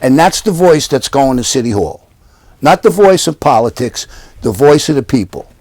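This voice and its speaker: A man's voice: mid-range voice, animated, normal speed, darkish tone, dry recording. An older man from Yonkers, a council candidate, answering interview questions at home in an earnest, repetitive campaign manner. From Yonkers, New York. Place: living room at home.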